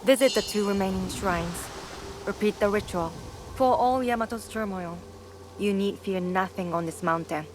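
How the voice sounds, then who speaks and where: An actress playing a calm, devout female shrine priestess in a game cutscene, measured and solemn. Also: medium pitch, background noise, close to an everyday voice. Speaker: game character. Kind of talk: video game cutscene acting. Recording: game audio with music.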